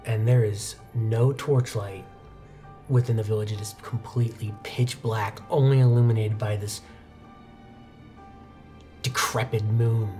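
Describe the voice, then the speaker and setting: A man's voice: lowish voice, steady tone, measured pace, pauses often, dark tone. Suspenseful young male game master over music. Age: young adult. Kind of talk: dramatic horror narration for a tabletop roleplaying game. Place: indoor studio game set at a desk. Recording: music playing behind the voice. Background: background music.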